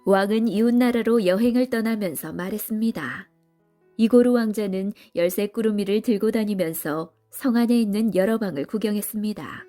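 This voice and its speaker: A woman's voice: medium pitch, normal speed, warm tone, faint music behind. A woman storyteller giving scripted storybook narration for children.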